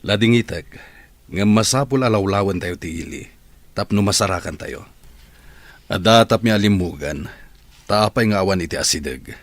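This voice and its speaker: A man playing a practical, reassuring character in an audio drama, talking in an expressive, conversational way. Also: lowish voice, normal speed, pauses often, no echo, lightly acted.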